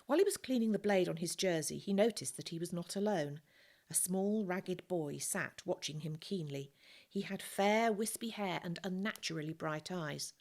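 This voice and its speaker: A woman teacher reads a novel aloud in a calm, measured way. Her voice sits a little low, and her narration stays steady and unhurried. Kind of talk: storybook reading.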